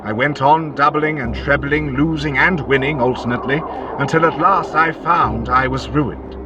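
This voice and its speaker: A man's voice: medium pitch, moderate pace, background noise, lightly acted. A man playing a sinister ghostly gambler in an audio drama, eloquent and coaxing, with theatrical, archaic formal phrasing. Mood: brooding, obsessed.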